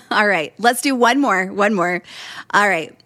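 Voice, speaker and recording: A woman in her forties hosts a casual podcast chat, upbeat and quick to laugh. Her voice sits in an ordinary middle range. She is recorded close and dry, with no room sound. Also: mid-range voice, bright, forceful tone, dry recording. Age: 40s. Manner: laughs often, upbeat and chatty. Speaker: podcast host.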